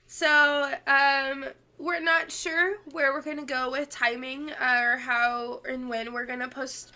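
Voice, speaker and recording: A woman's voice: high voice, level tone, slow pace, sharp tone. A young woman co-hosting a casual podcast chat, her voice stuffy and congested from illness, talking in a chatty way with lots of laughs and ums. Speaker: podcast co-host.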